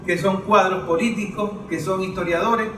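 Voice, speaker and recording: A man's voice: sits high, steady tone, normal speed, sharp tone. A Venezuelan man hosting and introducing a political round-table discussion. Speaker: host and moderator. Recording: roomy, noisy, distant microphone.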